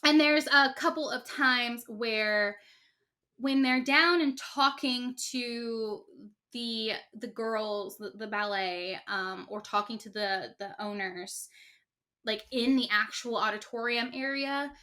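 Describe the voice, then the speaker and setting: A woman's voice: fairly high pitch, takes it slowly, pushed and bright. A woman co-hosting a casual podcast, retelling a story with lots of fillers and acted-out quotes. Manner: rambling, many fillers, acts out quotes. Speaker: podcast co-host.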